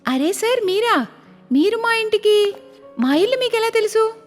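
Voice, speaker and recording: A woman's voice: extremely high pitch, animated, normal speed, pitched above the performer's own voice. A woman voicing a plucky young village vegetable seller in a cartoon story, speaking earnestly and respectfully. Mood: surprised. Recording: long reverb, music behind.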